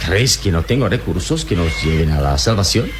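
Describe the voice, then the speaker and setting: Noble radio-drama hero, very low voice, animated swings, solemn delivery. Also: male voice. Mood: calm, firm. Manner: solemn, deliberate, theatrical delivery. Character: heroic adult male adventurer, calm, noble, resolute. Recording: noisy old radio drama recording.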